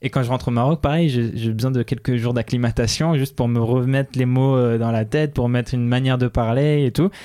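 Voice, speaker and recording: A man's voice: medium pitch, fairly even intonation, fairly quick, few pauses, mellow. A young man co-hosting a casual podcast, hesitant and full of restarts, laughing often; his first language is Dutch. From Belgium and Morocco. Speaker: podcast co-host. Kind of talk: casual conversation.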